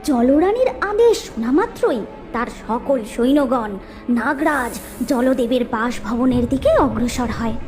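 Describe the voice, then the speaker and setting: A woman narrating a cartoon story in a lively, sing-song way. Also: high voice, measured pace. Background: background music.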